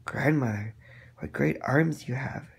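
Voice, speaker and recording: A man's voice: medium pitch, measured pace, vocal fry, husky, heavily acted. A man voicing an innocent, cheerful little girl in a storybook, chatty and trusting. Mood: eager.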